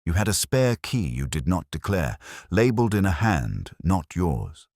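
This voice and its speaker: Man as mild police inspector, bass voice, dry close sound, measured, shrewd, quietly probing. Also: big pitch swings, no echo, exaggerated voice. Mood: accusing.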